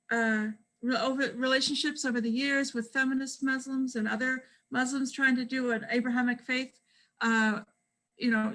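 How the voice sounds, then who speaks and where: Halting, bright, high-voiced woman. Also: rough recording. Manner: halting, many fillers. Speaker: forum host. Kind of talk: hesitant introduction.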